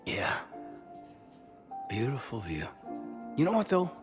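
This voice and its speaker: A man playing an earnest, sincere soldier in a video game, speaking in a soft, hesitant way. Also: medium pitch, wildly swooping pitch, slow. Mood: easy, warm. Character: earnest male soldier, adult, sincere, restless, quietly yearning. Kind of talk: naturalistic video game voice acting. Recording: music behind the voice.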